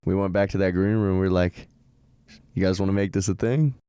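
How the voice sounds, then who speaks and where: A young man chats in a laid-back way as a guest on a studio podcast. His voice is very deep and mellow, smooth and clear, with a flat, even delivery. The sound is dry and close. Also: a little fast, no room sound. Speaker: musician guest. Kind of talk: casual podcast chat.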